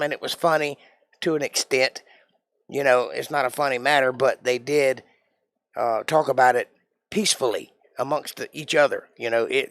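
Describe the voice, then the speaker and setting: A man, hosting a live stream and ranting emphatically to his chat with rhetorical questions. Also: mid-range voice. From Georgia, USA. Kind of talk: casual political rant to chat.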